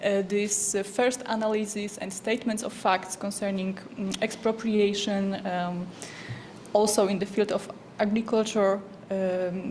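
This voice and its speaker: A woman whose first language is Polish, asking a formal question at an academic workshop, pausing carefully with fillers. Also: mid-range voice, darkish tone, slight background noise. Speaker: workshop participant.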